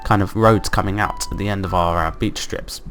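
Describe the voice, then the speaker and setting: A man with a croaky voice from being ill, hosting casual gameplay commentary and thinking aloud in an upbeat, rambling way. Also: low-pitched, fairly even intonation, brisk, over music. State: croaky from illness. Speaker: let's play host.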